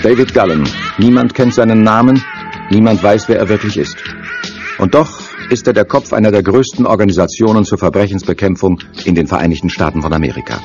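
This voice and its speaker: Deep, ominous male narrator. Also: fairly quick, muffled recording, music in the background. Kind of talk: dramatic scripted narration of a crime audio drama.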